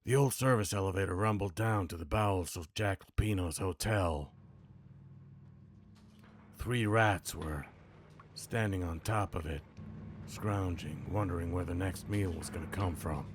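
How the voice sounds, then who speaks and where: A man voicing a brooding, world-weary hard-boiled detective from a noir video game, with a grim, deliberate delivery. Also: sits low, slight background noise.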